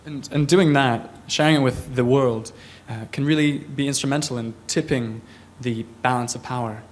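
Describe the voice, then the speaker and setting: A man co-presenting a project in a live pitch. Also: steady tone, normal speed.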